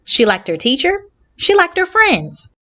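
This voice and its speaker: A woman hosting a children's read-along, reading aloud and addressing young listeners in simple, clearly phrased sentences. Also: very high-pitched, huge pitch swings, unhurried, bright, forceful tone.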